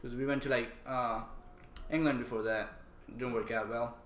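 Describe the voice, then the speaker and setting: A man's voice: medium pitch, fairly even intonation, normal speed, fairly bright tone, a little hiss or hum. A young man from Pakistan in a casual podcast chat, talking frankly and swearing freely. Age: young adult. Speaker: podcast co-host. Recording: echoey room with faint ambience.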